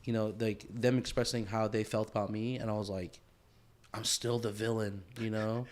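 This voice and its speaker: A man's voice: fairly low pitch, flat delivery, moderate pace. A man co-hosting a podcast in casual chat, reflective and rambling with lots of restarts. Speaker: podcast co-host.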